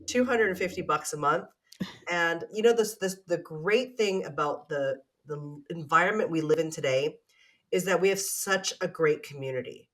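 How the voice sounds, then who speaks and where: A woman's voice: deep voice, moderate pace, fairly bright tone. A woman in her forties from Canada, a guest on a podcast, telling her story openly in casual conversation. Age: 40s. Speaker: podcast guest, real estate investor. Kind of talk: casual interview chat.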